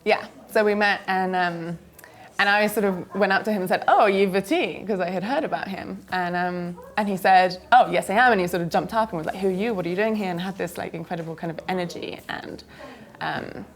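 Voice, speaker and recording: A woman's voice: lowish voice, brisk. A young woman from the UK, a filmmaker guest answering interview questions hesitantly, full of fillers, and tired from travel.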